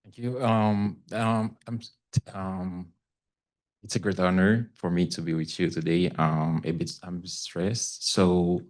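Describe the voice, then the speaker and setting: A man with a Haitian accent speaking as a panelist about his own experience, haltingly and searching for words. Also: sits low, little pitch movement, a little mellow. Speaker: panelist, community news correspondent. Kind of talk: panel talk, personal account.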